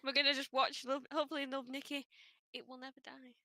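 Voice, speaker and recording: A woman moderator rambles through heartfelt thanks, hesitating and restarting often. Her voice is bright and a bit high, recorded dry and close. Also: little pitch movement, quick pace, no room sound. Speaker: community moderator, co-founder of the server. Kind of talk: casual thank-you remarks on a voice chat.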